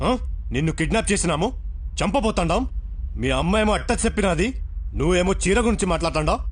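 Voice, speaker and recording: Film thug: male kidnapper, raspy, rapid-fire, Rayalaseema Telugu accent, menacing but bewildered. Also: high voice. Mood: baffled, threatening. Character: rough male henchman kidnapper, baffled and threatening. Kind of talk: Telugu action-film acting.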